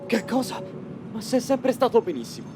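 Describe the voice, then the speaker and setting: A man playing a good-natured, easily flustered teenage boy in an expressive, colloquial anime dub style. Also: very high-pitched, normal speed, over music. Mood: alarmed, questioning. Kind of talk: dubbed anime motion comic acting.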